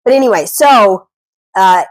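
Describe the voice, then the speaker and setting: A woman's voice: medium pitch, lively intonation, measured pace, no echo, rough recording. Casting: woman vlogger with a head cold, chatty and earnest, close dry sound. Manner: chatty, earnest, self-deprecating. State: congested from a cold. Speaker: personal finance vlogger. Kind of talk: casual talking-head advice. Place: at home.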